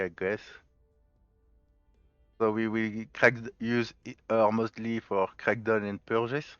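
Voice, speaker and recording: A man's voice: lowish voice, a bit bright, clear, resonant tone, no echo, highs cut off. A man with a mixed French, Spanish and Galician accent, giving let's play commentary and explaining his choices step by step as he thinks aloud. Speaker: gaming channel host.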